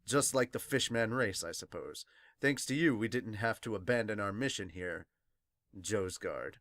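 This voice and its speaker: A podcast host voicing a solemn, courteous nobleman protector from a manga in a hushed, measured way. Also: mid-range voice, no room sound, studio quality, voice pushed down, lightly acted.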